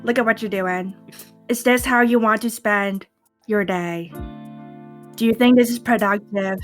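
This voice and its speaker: A woman's voice: mid-range voice, a little slow, soft background music, natural voice. A woman voicing a bold, sassy woman adventurer in a tabletop game, emphatic and coaxing.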